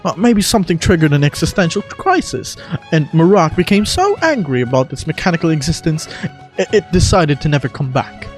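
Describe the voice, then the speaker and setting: A man voicing an excitable, dramatic young architect from a fantasy game, with an emphatic, theatrical delivery. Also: fairly high pitch, a little fast, faint music behind. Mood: indignant.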